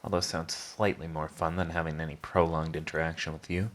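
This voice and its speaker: A man plays a flippant male villain in an ASMR-style audio drama. His voice sits low. He draws out his mockery with sarcastic, theatrical teasing and a prickly, begrudging charm. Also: flat delivery, a bit bright. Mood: sarcastic annoyance. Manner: sardonic, theatrical teasing, drawn-out mocking delivery.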